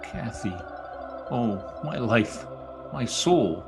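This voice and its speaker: Male actor as anguished bitter lover, theatrical radio drama delivery, highly animated intonation, music underneath. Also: very slow, creaky, full character voice. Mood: anguished, pleading. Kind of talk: old-time radio drama acting. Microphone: recorded remotely over video call.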